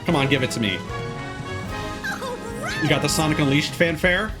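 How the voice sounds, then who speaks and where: A man's voice: on the high side, normal speed. A man doing casual gameplay commentary as a livestreamer, rambling and easily sidetracked, with casual swearing. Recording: game music playing behind voice. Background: video game music.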